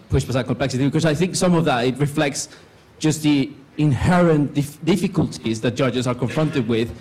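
A man's voice: mid-range voice, a little mellow, low-quality recording. A man in the audience asking the panel a courteous, searching question.

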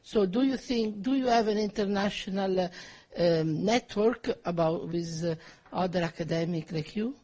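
An Italian woman from the audience asking a question in English, her second language, pausing often to search for words. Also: mid-range voice, warm tone. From Italy.

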